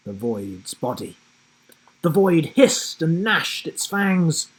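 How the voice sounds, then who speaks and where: A man reading a children's storybook aloud in a dramatic, suspenseful way with deliberate pauses. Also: fairly high pitch, poor audio. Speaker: children's book narrator. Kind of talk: dramatic scripted storybook narration.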